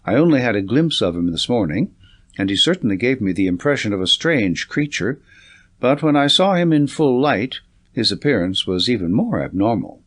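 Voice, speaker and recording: A man reading a classic story as a scripted audiobook narration, in a measured, expressive storytelling manner. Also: mid-range voice.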